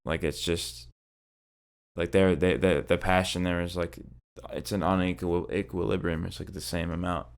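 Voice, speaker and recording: A man's voice: sits low, flat delivery, moderate pace, gravelly, clean tone. A young man chatting casually as a podcast guest, laid-back, swearing a lot and filling his talk with slang. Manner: laid-back, swears often, lots of "like" and "bro". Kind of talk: casual chat.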